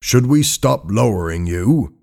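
A man voicing a steady, practical boat captain in an audiobook story, measured and matter-of-fact. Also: on the low side, gravelly, full character voice.

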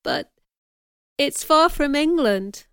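A woman's voice: high voice, animated, takes it slowly, gravelly, no room sound, studio quality, voice pushed up, exaggerated voice. A woman voicing a passionate young governess in a storybook, hurt but defiant, speaking with emotional emphasis. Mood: dismayed.

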